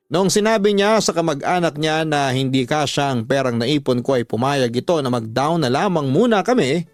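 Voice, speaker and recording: A man narrating a first-person radio drama story in an earnest, measured storytelling manner. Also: mid-range voice, no echo. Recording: dry voice over music bed.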